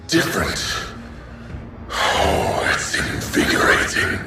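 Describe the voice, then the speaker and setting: A man voicing a sinister, sadistic revived villain in a video game, slow and theatrical, savoring his words in a grandiose way. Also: deep voice, vocal fry, full character voice. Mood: relishing, commanding. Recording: echoing, distant, with loud music.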